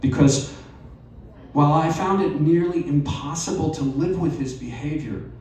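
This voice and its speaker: A man reading a personal essay aloud at a live storytelling night, measured and wry. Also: a little high, darkish tone, recorded from a distance, a little hiss or hum. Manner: slow, measured delivery with wry asides. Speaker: reader at a live storytelling event. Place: bar or event venue, live audience. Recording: very echoey room, voice off-mic, faint ambience.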